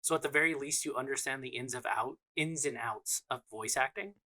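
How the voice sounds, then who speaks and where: A young American man co-hosting a podcast, loud and joking, swearing often as he banters with friends. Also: mid-range voice, a little fast. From United States. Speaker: podcast co-host. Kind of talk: casual chat.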